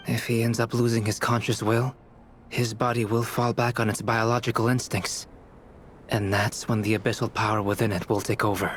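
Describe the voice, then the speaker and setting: An actor playing a calm, analytical young male alchemist in a video game, measured and explanatory. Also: medium pitch, a little hiss or hum, natural voice.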